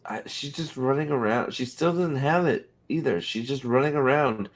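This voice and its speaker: A man's voice: mid-range voice, moderate pace. A man hosting a loose reaction chat about a TV show. His talk is blunt and full of swearing, and he keeps restarting his sentences as he rambles along. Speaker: show host. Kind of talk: casual reaction commentary.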